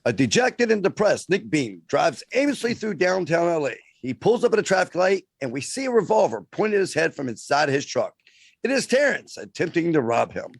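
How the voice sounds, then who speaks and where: Gravelly high-pitched male narrator, very animated. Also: fairly quick, no echo, studio quality. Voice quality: gravelly, smoker-like. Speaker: co-host and narrator. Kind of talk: scripted scene narration.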